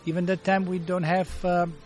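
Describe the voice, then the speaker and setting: A man in his fifties with a Bulgarian accent and a fairly high voice. He tells stories in an interview, stopping often to find the English words. Faint music plays behind him. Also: flat delivery. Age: 50s. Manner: halting, searching for words in English. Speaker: martial arts teacher, guest. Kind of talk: interview storytelling.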